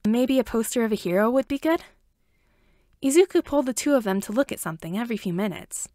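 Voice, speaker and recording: A woman narrates fiction in an amateur audiobook style. Her voice sits a little high and moves with very animated intonation. The recording is dry and close, with no room sound. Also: quick pace, dry recording. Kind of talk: scripted story narration.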